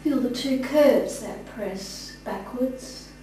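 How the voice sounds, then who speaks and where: A woman student teacher giving slow, guided yoga instruction. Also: a little high, mellow. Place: echoey indoor room, from a distance. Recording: very echoey room, distant mic, clear noise.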